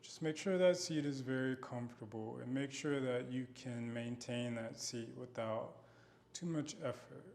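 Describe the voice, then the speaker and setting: A man guiding a body scan meditation in a gentle, unhurried manner with long pauses. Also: mid-range voice, creaky. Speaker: meditation guide.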